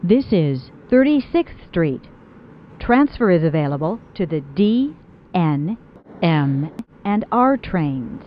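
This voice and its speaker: A woman gives recorded transit announcements in a fairly low voice. Her pitch moves noticeably between phrases, and her delivery is very unhurried. The clips sound slightly muffled and lo-fi. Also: big pitch swings, a little hiss or hum. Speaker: subway train announcer. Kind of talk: scripted automated transit announcements, spliced phrases.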